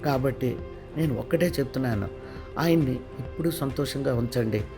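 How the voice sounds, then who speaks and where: A man voicing a doctor in an audio story, calmly giving instructions about a patient. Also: mid-range voice, normal speed, music in the background, close to an everyday voice. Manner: calm, advising. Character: calm doctor giving advice.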